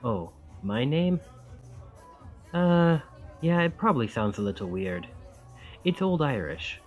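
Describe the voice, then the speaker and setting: A man's voice: mid-range voice, sing-song, slow, dull audio, faint music behind. A man acting a charming, friendly young college student in an intimate ASMR roleplay, with a self-deprecating, thoughtful and wry manner. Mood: sheepish, friendly.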